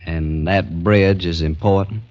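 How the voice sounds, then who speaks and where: Deep-voiced genteel gentleman. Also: steady tone. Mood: courteous, curious. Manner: measured, probing, genteel. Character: courteous Southern gentleman planter, quietly scheming civilian. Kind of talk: 1940s-50s radio acting. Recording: dull vintage radio recording.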